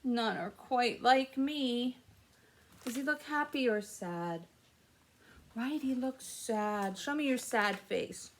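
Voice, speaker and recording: Very high-voiced, slow woman reading to children. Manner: sing-song, exaggerated, asks the children questions. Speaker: children's library story time presenter. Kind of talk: read-aloud storytelling for young children.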